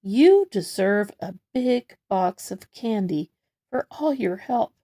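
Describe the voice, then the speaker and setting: A woman voicing an excitable male factory worker in a children's storybook, exclaiming his lines. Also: medium pitch, takes it slowly. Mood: grateful. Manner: exclaiming, urgent delivery.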